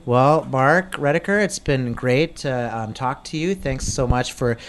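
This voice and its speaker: A man hosting a conversational interview, posing rhetorical questions in a halting manner. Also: medium pitch, slight background noise. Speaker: talk show host. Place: inside a gun store.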